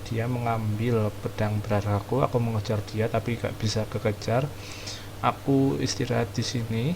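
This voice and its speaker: A man's voice: mid-range voice, flat delivery, fairly quick, noisy background. A man with an Indonesian accent giving casual, informal gameplay commentary as a streamer, mixing in English words. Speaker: game streamer. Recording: clear music behind the voice.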